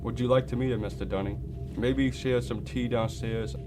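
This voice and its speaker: A man's voice: mid-range voice, steady tone, normal speed, over music, natural voice. A man playing a helpful, polite and chatty library attendant in a radio drama. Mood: obliging. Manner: obliging, polite.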